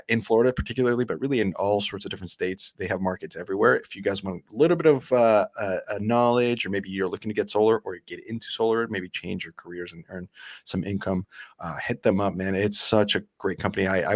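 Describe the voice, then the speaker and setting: A man with a fairly low voice rattles along at a quick clip as he hosts a podcast. He is eager and chatty, and he often restarts his sentences. The sound is dry and close. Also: gravelly, no echo. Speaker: podcast host. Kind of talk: casual podcast interview.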